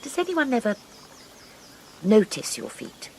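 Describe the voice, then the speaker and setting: A woman's voice: on the high side, very animated, measured pace, dark tone, a little hiss or hum. An actress playing a prim, formal spinster missionary woman in a radio drama. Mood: tender.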